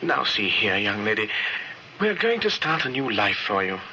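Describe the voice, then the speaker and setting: Nice young husband in old radio play, lively genteel delivery, hissy old recording. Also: male voice, fairly low pitch, normal speed, dull audio. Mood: hearty, affectionate. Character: genial, attentive young newlywed husband. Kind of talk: old-time radio drama acting. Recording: noisy vintage radio recording.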